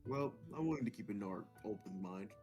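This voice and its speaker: A man's voice: fairly low pitch, animated, normal speed, darkish tone. A man doing a casual, jokey read-aloud of visual novel dialogue, dropping informal asides. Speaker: co-reader in a visual novel let's play. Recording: music playing behind the voice. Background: guitar music.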